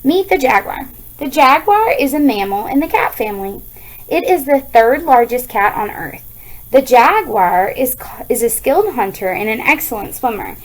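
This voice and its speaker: An American woman with a fairly high voice speaks as an elementary school teacher. She reads aloud to children and breaks off into chatty, explanatory asides, often checking that her listeners are following. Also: very animated, moderate pace, poor audio.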